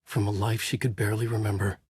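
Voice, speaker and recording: A man's voice: fairly low pitch, flat delivery, fast, a little mellow, slightly rough, no room sound, studio quality. A man playing a haunted, brooding writer hero in a video game, speaking intense noir lines in short clipped phrases. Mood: grim. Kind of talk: noir scripted narration and dramatic game dialogue.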